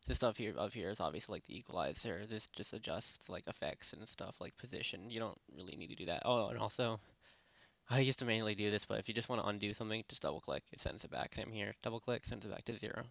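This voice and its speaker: A man narrating a software tutorial in a casual, unscripted, rambling way, speaking informally with lots of filler phrases. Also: on the low side, quick pace, creaky, no room sound. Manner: rambling, informal, repeats "basically" and "you know". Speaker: tutorial presenter. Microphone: close headset microphone.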